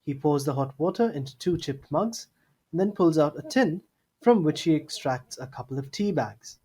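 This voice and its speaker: A man's voice: a little high, expressive, normal speed, warm tone, slightly rough. A man reading scripted narration for an audio drama. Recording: slightly noisy home mic.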